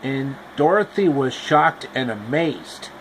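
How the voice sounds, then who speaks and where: A man's voice: medium pitch, expressive, slow pace, a little hiss or hum. A man retelling a fairy tale in a loose, rambling casual narration with restarts. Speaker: storyteller. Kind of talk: casual improvised story retelling.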